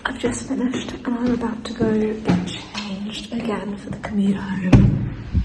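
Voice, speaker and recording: A woman's voice: medium pitch, fairly even intonation, a little slow. A woman in her twenties with an English accent, chatting informally and self-deprecatingly in a casual day-in-the-life vlog. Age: 20s. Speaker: vlogger, student actuary. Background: music.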